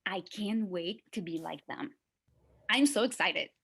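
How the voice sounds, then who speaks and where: A woman's voice: medium pitch, measured pace, fairly bright tone, breathy edge. A woman acting a timid, awkward teenage girl who wants to fit in, hesitant and pleading, in a scripted read. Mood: eager, pleading.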